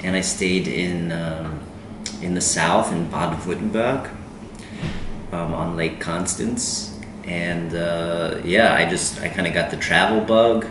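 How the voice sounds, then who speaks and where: An American man chatting casually as an interview guest, telling his story in an informal, halting way. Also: deep voice. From United States. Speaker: interview guest, language lecturer. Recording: roomy, distant mic, noisy background.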